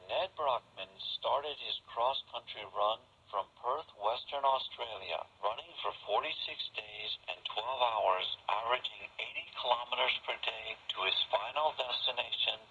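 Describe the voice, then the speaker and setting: A man's voice: medium pitch, pushed and bright, a little hiss or hum. A man presenting scripted news stories, heard on a muffled shortwave radio capture.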